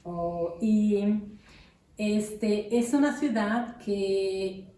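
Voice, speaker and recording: A middle-aged woman from the Dominican Republic, a nurse, answering interview questions calmly with frequent hesitations. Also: mid-range voice, roomy sound, far from the mic, low-quality recording. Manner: unhurried, many hesitations. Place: her own home.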